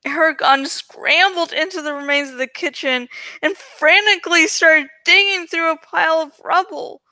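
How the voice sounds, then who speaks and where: A woman's voice: very high-pitched, normal speed, pushed and bright, no room sound. A woman reading a storybook aloud in a dramatic style, an amateur narrator who pokes fun at her own slips. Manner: self-deprecating, stumbles and jokes about slips. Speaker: amateur audiobook reader. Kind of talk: dramatic storybook narration with self-mocking asides.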